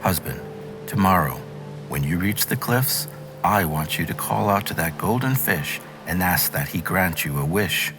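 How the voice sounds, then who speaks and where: A man's voice: low-pitched, animated, a little slow, over music. A man voicing a greedy, haughty fisherman's wife in a storybook, imperious and entitled. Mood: demanding.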